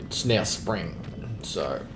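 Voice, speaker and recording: A man's voice: deep voice, animated, drawn-out words, background noise. A man with a snuffly voice from hay fever, casually commenting on a video game with jokey quips. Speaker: let's play gamer.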